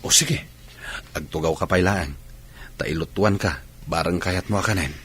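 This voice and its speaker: Lalaking gumaganap na maalagang batang asawa, mababang boses. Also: male voice, sits low, moderate pace, a bit bright, slightly rough, full character voice. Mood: caring, coaxing. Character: patient, doting young husband, gently coaxing. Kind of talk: Ilocano radio drama acting.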